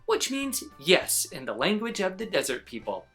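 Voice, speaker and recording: A woman reading a children's picture book aloud as an expressive storyteller. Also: fairly low pitch, normal speed, soft background music.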